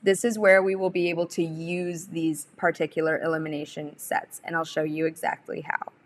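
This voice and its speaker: A young adult woman presenting a software tutorial, explaining each step in an informal, instructional way. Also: low-pitched, little pitch movement, normal speed. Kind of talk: instructional screen-share walkthrough.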